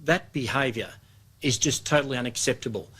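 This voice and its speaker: A man's voice: mid-range voice, fairly quick, fairly bright tone, breathy edge. Formal Australian man giving a briefing. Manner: formal, matter-of-fact. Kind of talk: press briefing.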